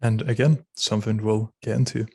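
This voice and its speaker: A man's voice: lowish voice, little pitch movement, fairly quick, gravelly, mellow, breathy edge, dry recording, clean studio sound. A man interviewing a guest with brief, level questions.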